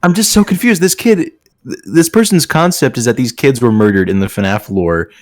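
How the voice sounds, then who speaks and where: A man's voice: mid-range voice, animated, normal speed, no room sound, studio quality. A man reading fan fiction aloud in a mock dramatic style, with amused, incredulous casual banter.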